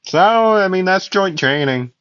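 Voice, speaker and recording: A man's voice: high voice, lively intonation, unhurried, fairly bright tone, smooth, no room sound, lo-fi sound. A young man casually telling a fan-made anime story, rambling with fillers and frequent laughs, his voice getting worn out. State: losing his voice.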